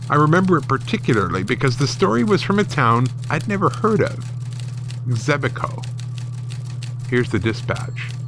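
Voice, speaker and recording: A man's voice: mid-range voice, sing-song, normal speed, background noise. A man narrating a first-person horror story in a dramatic storytelling style. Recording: music bed under the voice. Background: background music.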